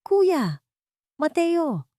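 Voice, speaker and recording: A woman voicing a desperate younger sister in an audio drama, urgent and pleading, shrill and cracking with fear. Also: very animated, drawn-out words, warm tone, no echo, clean studio sound, full character voice. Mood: frightened.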